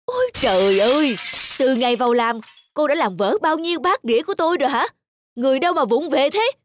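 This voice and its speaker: A woman's voice: high voice, expressive, a little fast, soft background music. A woman playing a harsh, impatient, stingy middle-aged employer in a cartoon, scolding sharply. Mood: angry, scolding. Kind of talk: cartoon voice acting.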